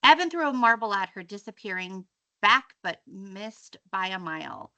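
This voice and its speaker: A woman reading a children's story aloud in an expressive, storytelling style. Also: mid-range voice, moderate pace, poor audio. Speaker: teacher reading a book aloud.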